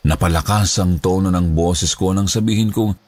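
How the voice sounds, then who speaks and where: A man with a Filipino accent narrating a scripted first-person horror story in a dramatic, deliberate way. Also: sits low, darkish tone, no echo. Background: background music.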